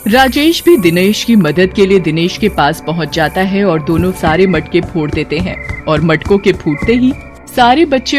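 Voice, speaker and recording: A woman delivering scripted narration for a horror story. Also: lowish voice.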